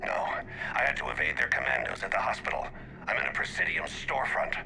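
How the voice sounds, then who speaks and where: An actor voicing a grave, controlled male alien assassin in a sci-fi video game, gravelly and urgent, over a radio call. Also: medium pitch, wildly swooping pitch, a little fast, pushed and bright, a little hiss or hum, heavily acted, rough recording.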